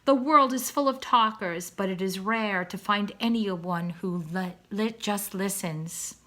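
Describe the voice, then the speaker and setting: A woman's voice: mid-range voice, a little slow, a bit bright, natural voice. A woman voicing a wordy father swan from a children's storybook, kindly and encouraging, speaking in a formal, emphatic, oratorical way. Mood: earnest, lecturing. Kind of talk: children's storybook read-aloud.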